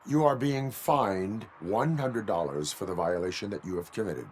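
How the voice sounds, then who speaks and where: A stoic cyborg policeman voiced by a male actor for a video game. His low voice is deadpan and clipped, with stiff formal phrasing and absolute authority. Noticeable ambient noise sits behind him. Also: normal speed, natural voice. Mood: commanding, cold. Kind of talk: video game cutscene acting.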